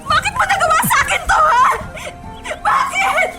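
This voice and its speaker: A devoted young girlfriend with a very high, emotional voice. She speaks in a heartfelt radio drama style, and music plays clearly behind her. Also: animated, moderate pace. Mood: heartbroken, furious. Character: affectionate young girlfriend, heartbroken and furious when betrayed. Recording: background music under dialogue.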